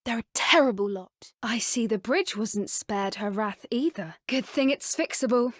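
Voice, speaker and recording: A woman voicing an earnest, caring teenage witch with a British accent in a video game, speaking in an articulate, gently expressive way. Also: a little high, unhurried, no echo, studio quality. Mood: relieved, hopeful. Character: earnest, caring teenage witch schoolgirl who loves creatures. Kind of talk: video game character dialogue.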